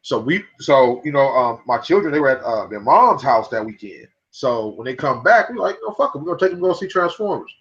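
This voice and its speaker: A man's voice: medium pitch, very animated, fairly quick, few pauses, fairly bright tone. A man chatting casually on a podcast, rambling with many restarts and some swearing. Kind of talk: casual chat about movies. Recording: dull lo-fi mic.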